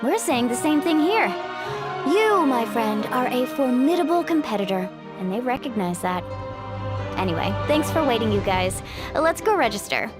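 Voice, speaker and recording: A woman's voice: on the high side, sing-song, moderate pace, over music. A woman playing an upbeat, sociable young athlete in a video game, with a confident, breezy, casual manner.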